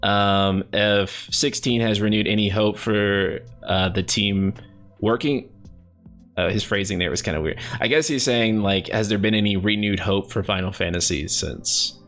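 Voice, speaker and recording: A man with a fairly low voice who hosts a casual live stream. He is upbeat, chuckles easily and reads viewer questions aloud. Soft music plays faintly underneath. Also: unhurried. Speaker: host.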